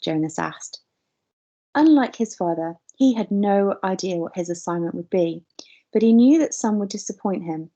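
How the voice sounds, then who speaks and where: Mellow expressive woman reading a story. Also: medium pitch, moderate pace, highs cut off. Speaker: teacher reading a novel aloud. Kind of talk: expressive scripted storybook narration.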